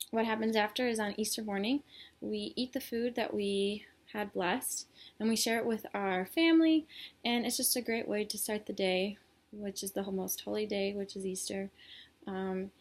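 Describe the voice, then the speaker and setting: A woman's voice: medium pitch, gravelly. A young woman from Illinois, a college student whose first language is Polish, giving a class presentation with many ums and restarts. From Illinois, United States. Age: young adult.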